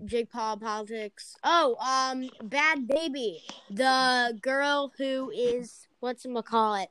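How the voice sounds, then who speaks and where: A woman's voice: on the high side, slow pace, a bit bright, clear, resonant tone. A young woman co-hosting a casual podcast, speaking bluntly and swearing casually. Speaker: podcast co-host. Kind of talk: casual chat.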